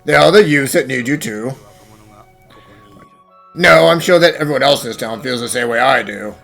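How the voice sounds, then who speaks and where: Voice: medium pitch, moderate pace, gravelly, a bit bright, faint music behind. An actor playing a friendly, encouraging townsperson in an anime-style fan dub. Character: friendly festival supporter encouraging the mayor.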